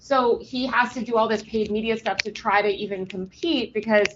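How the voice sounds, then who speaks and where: A woman's voice: on the high side, fairly even intonation, normal speed, highs cut off, background noise. A woman journalist being interviewed remotely, talking loosely with many ums and restarts while giving blunt opinions. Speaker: journalist guest.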